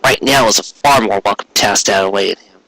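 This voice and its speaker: A man's voice: fairly low pitch, brisk, bright, forceful tone, no echo, poor audio. A man reading a scripted story aloud as an audiobook narrator. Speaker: audiobook reader.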